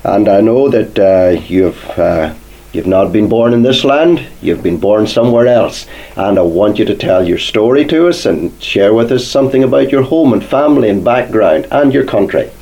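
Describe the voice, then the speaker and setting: Low, mellow-voiced male program host. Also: animated, normal speed, highs cut off. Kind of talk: church program interview.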